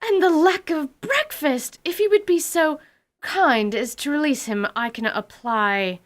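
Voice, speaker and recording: A woman's voice: high voice, measured pace, voice pushed up. A woman voicing a flustered innkeeper who is courteous but tight and nervously over-polite. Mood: flustered pleading. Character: flustered middle-aged female innkeeper, anxious and apologetic.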